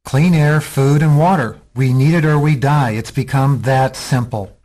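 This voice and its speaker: A man's voice: medium pitch, a little slow, darkish tone, lightly acted. A man playing an earnest, defiant activist rebel in a radio drama, arguing insistently.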